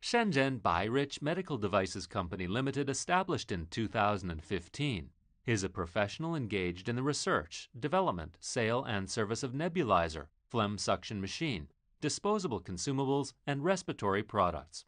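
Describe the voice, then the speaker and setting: Man, corporate promo voice-over, animated intonation, dry close studio sound. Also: lowish voice, normal speed, no room sound. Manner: reads company facts and lists in a formal presentational tone. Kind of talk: scripted promotional narration.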